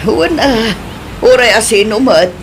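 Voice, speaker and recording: Animated Ilocano auntie played by an actress. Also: female voice, on the high side, unhurried, a little hiss or hum. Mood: mildly defensive. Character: middle-aged village auntie, chatty and nosy. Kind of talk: Filipino radio comedy drama acting.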